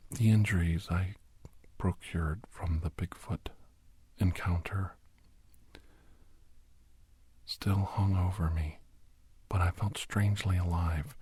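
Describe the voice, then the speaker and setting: A man narrating a first-person ASMR story in a breathy, whispery voice. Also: sits low, darkish tone, no echo.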